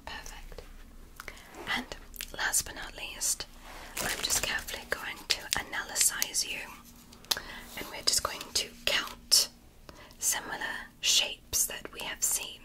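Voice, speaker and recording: A woman whispering as a gentle alien woman doctor in an ASMR roleplay, softly praising and reassuring her patient. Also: close to an everyday voice, low-quality recording. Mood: soothing. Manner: soft, slow, praising and reassuring.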